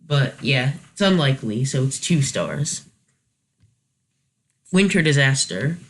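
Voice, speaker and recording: A man's voice: medium pitch, sing-song, slow, fairly bright tone. A man hosting a review video with casual, unscripted commentary, hesitant and thinking aloud as he corrects himself. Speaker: host of a video review series.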